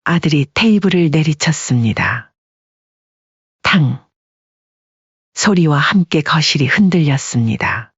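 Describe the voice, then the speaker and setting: A woman's voice: sits low, expressive, moderate pace, slightly rough, no room sound. A woman narrating a scripted dramatic audiobook story. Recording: dull lo-fi audio.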